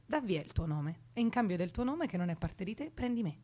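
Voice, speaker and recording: A restless, guarded young woman in a naturalistic radio play. Her is colloquial and her talk intimate, teasing one moment and earnest the next, at a medium pitch. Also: big pitch swings, a little fast, warm tone. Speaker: radio drama actress. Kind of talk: naturalistic Italian radio drama dialogue.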